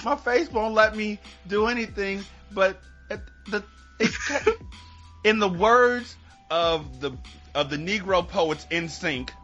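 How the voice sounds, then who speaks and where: A man speaks at an unhurried pace with a very lively melody, tossing in joking commentary. It is casual podcast banter on a slightly muffled recording. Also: high-pitched, faint music behind. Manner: playful commentary with repeated words for effect.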